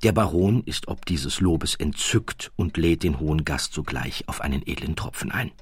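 A man's voice: a bass voice, lively intonation, normal speed, husky, no echo. A man reading a scripted audiobook narration in a theatrical, ironic storytelling manner.

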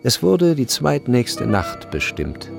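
A man's voice: medium pitch, big pitch swings, slow, creaky. A man narrating a literary radio play from a script. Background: faint music.

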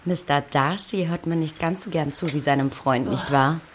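A woman's voice: deep voice, moderate pace, slight background noise, natural voice. A woman playing a sensible young neighbour in a German radio drama, wry and matter-of-fact. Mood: wry, chatty.

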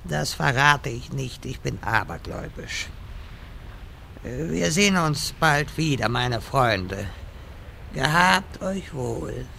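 A man acts out a secretive, theatrical quest-giver in a gaming parody radio play. He is bright and forceful with a gravelly edge, taking his time over ceremonious phrases. There is faint noise behind him. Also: medium pitch, lively intonation, slow pace, exaggerated voice. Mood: hurried, apologetic. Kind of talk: comedic audio drama parody acting.

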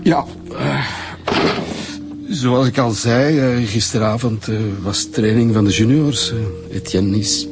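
A man's voice: mid-range voice, over music. An actor playing a hesitant, polite football club chairman in a radio drama, with a Flemish Belgian accent. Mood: uneasy. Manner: halting, polite. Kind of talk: naturalistic radio drama acting.

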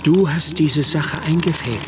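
A man playing an accusing, haunting voice in a radio drama, in drawn-out fragments over music. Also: fairly high pitch, close to an everyday voice, rough recording. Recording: music bed under the voice.

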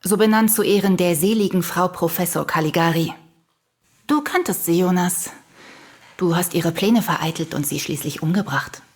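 An actress playing a cold, haughty conspirator in a radio drama, with a condescending, cool manner. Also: female voice, expressive, moderate pace, darkish tone. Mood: smug, cold. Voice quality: husky, low. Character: cold, smug female conspirator and killer, haughtily superior.

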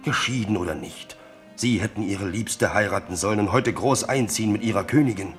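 Man playing a low-voiced, blunt soldier courtier. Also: fairly quick, soft background music, lightly acted. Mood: blunt, provoking. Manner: frank, sly, feigns indifference. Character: blunt old-soldier courtier, loyal yet needling the king. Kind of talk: German radio drama acting.

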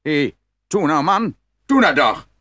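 An actor playing a gullible, eager, tipsy farmer in a fairy tale, stammering and blustering. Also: male voice, a little high, sing-song, measured pace, sharp tone, dry recording, exaggerated voice. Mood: pleading. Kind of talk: dramatised fairy tale acting.

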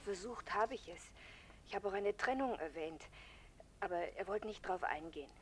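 An actress playing a weary, fearful unfaithful wife, subdued and resigned, in a 1960s German radio crime drama. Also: female voice, medium pitch, expressive, moderate pace, lightly acted. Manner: subdued, resigned, breathy murmur. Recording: hissy 1960s radio play recording.

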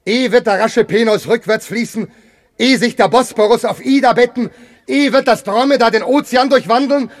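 High-voiced anguished Amphitryon, in radio drama. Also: male voice, normal speed, dry recording. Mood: outraged. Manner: impassioned, declamatory. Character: Amphitryon, anguished proud betrayed Theban general and husband. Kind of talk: classical verse radio drama acting.

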